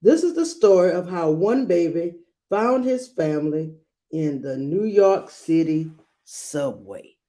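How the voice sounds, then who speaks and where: A woman reading a children's picture book aloud, adding gentle explanatory asides for young listeners. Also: lowish voice, animated, takes it slowly. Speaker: read-aloud storyteller.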